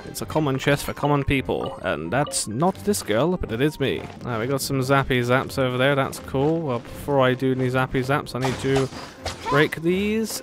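A man giving casual gameplay commentary with playful, sardonic asides and bits of sing-song babble. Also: mid-range voice. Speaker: gamer commentating a playthrough. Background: game music.

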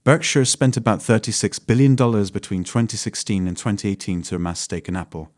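A man reads a finance script in a steady, even voice that lifts a little at the start of each new point. His pitch sits on the low side. The recording is close and dry, with no room sound. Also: fairly quick, no room sound. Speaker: narrator of a finance channel. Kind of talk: scripted narration.

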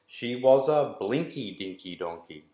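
A man's voice: medium pitch, animated, measured pace, mellow. A man in his forties reads a rhyming picture book aloud to children in a sing-song, rhythmic way. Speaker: school staff member reading aloud to children. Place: small indoor room with bare walls. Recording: roomy indoor echo.

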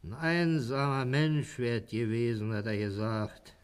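A man's voice: medium pitch, steady tone, measured pace, clean tone, natural voice. An actor playing a gregarious, middle-aged insurance salesman who gripes in colloquial Berlin dialect, in a German radio play. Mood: subdued, musing. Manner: colloquial, griping.

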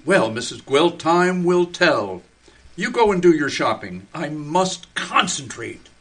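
Radio play husband turning into a tree male actor: stubborn dreamer, solemn delivery, unhurried, forceful high voice. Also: big pitch swings, full character voice. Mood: serene, resolute. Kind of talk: community radio theater acting.

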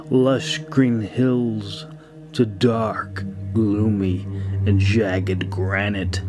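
A man who narrates and shares his own audiobook stories, talking with deliberate, broken phrasing and pauses. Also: mid-range voice, a little mellow. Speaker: audiobook narrator and author-creator. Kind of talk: scripted story narration, then casual thanks to listeners.

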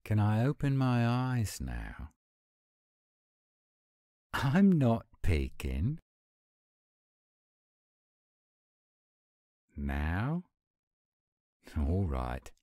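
A man voicing an affectionate, gruff-but-sweet werewolf boyfriend in a tender, intimate romantic audio roleplay. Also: lowish voice, wildly swooping pitch, very slow, long pauses, dark tone, no echo, studio quality, heavily acted. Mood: eager, playful.

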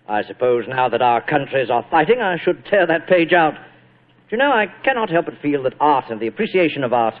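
An actor playing a genial, flowery old British stage actor in a 1940s radio drama, affable and polite, with a British accent. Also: male voice, fairly high pitch, very animated, a little fast. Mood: ingratiating. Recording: muffled, narrow-band old radio recording.

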